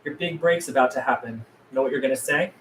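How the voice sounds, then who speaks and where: A man playing a wry, protective and practical survivor in a drama script read, brisk and matter-of-fact. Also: medium pitch, a bit bright, lightly acted. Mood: businesslike. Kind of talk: script table read acting. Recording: echoey room with background noise.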